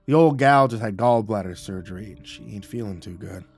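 A man voicing a rough, folksy brother caught in a crime who pleads to be spared. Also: fairly low pitch, a little fast, faint music behind. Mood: sheepish. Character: desperate drunken male brother pleading.

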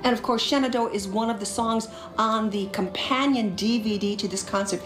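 A woman's voice: a little high, moderate pace, reverberant, over music. A woman hosting a TV fundraising break, interviewing singers outdoors with an emphatic, gushing manner. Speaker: pledge drive host. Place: outdoors on castle grounds by a lake.

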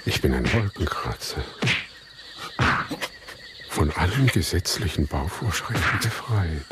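A man's voice: low voice, sing-song, a little slow, heavy background noise. An actor playing a reflective grown son, narrating his life in literary style in a German radio play.

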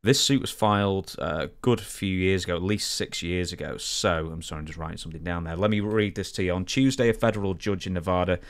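A man's voice: low voice, fast, few pauses, smooth. A man co-hosting a podcast, talking casually through sports news and explaining it. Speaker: podcast co-host.

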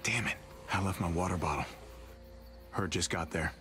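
A man's voice: sits low, normal speed, a bit bright, slight background noise. A male actor playing a weary, caring, wry young man on the road in a zombie apocalypse video game. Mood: weary, low-key. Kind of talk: naturalistic video game drama acting.

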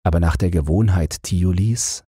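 A man's voice: low-pitched, normal speed, dark tone, husky, no room sound, studio quality. A man reading a scripted bedtime fairy tale as an audiobook narrator.

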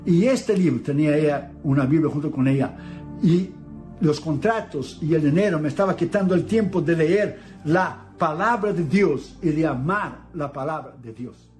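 A middle-aged man delivers a sermon with earnest conviction. His voice sits a little high for a man, and he slips into a solemn, measured cadence when quoting scripture. Soft music plays faintly beneath him. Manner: earnest preaching, reads Bible verses aloud in solemn cadence. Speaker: preacher.

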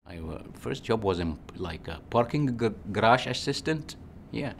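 A man in his forties speaks with an Egyptian accent in a very low, deep voice. He answers interview questions slowly and haltingly, often restarting and adding "you know." A faint hum of room noise sits behind him. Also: lively intonation, gravelly. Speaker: physician.

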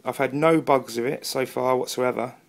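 A man's voice: medium pitch, fairly even intonation, moderate pace, vocal fry. A man with an English accent talking casually as a hobbyist reviewer, rambling a little and self-deprecating. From England. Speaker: amateur radio hobbyist and video reviewer. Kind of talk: casual product review and radio chat. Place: indoors at a wooden table, off camera.

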